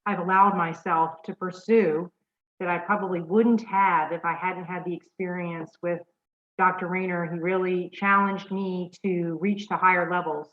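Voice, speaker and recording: A woman's voice: fairly low pitch, steady tone, normal speed. A woman speaking sincerely and gratefully on a video call. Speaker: dentist, alumna speaker. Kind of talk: virtual panel introduction. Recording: tinny phone-like audio.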